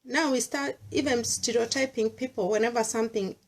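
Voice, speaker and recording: A woman's voice: on the high side, fairly even intonation, brisk, gravelly. A middle-aged Kenyan woman with a Kenyan accent, speaking earnestly in a personal monologue and mixing English with Swahili. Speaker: vlogger sharing personal views.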